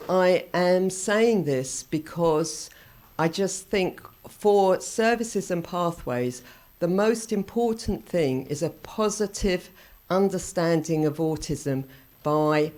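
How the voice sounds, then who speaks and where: Woman holding back a loud voice. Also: on the low side, takes it slowly. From England. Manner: loud voice, held down on purpose; thinking aloud, self-correcting, with short laughs. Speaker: conference speaker. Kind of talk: conference talk. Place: conference room.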